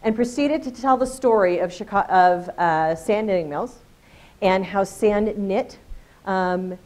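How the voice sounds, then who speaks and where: A woman with a loud, carrying voice addresses a conference room. She talks casually and with energy, keeping her presentation light and joking with her audience. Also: medium pitch. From Colorado.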